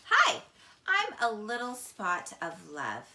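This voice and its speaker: Female read-aloud teacher, middle-aged, unhurried and expressive, crisp bright tone, slightly low-pitched, roomy sound. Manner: slow, deliberate, expressive storytelling. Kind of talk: children's picture book read-aloud. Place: small indoor room, seated on couch. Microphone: camera a few feet away. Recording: echoey room.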